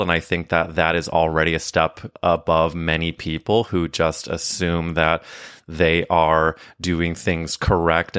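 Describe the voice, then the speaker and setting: Young male podcast host, deep voice, eager chatty interviewer. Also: a bit bright, clear, resonant tone, no room sound, clean studio sound. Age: 20s. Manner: effusive, quick asides, repeats words. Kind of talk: casual interview chat.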